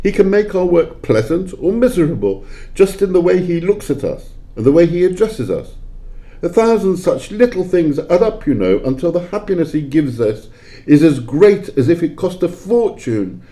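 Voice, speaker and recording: An old miser, wistful and defensive, acted by a man in an audio play. The voice is fairly high and soft-edged, breaking into emotional exclamations with very lively intonation. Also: moderate pace. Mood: subdued, earnest. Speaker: amateur library staff actor.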